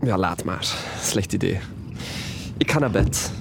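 A man's voice: sits low, animated, measured pace, gravelly, heavy background noise, heavily acted. A young man playing a playful, awkward best friend in a naturalistic audio drama, hesitant and casual. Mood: withdrawing. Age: young adult.

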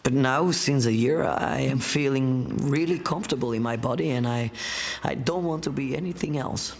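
An actor playing a hesitant, tender young man in his early twenties, speaking haltingly in an audio drama. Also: mid-range voice, a little hiss or hum, close to an everyday voice. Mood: nervous testimony. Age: young adult.

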